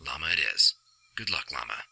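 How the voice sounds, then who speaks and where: A man playing a gruff veteran covert operative in a radio drama, with a gravelly, husky voice and a deadpan, terse, teasing delivery. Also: a bass voice, a little fast, exaggerated voice. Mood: dry teasing.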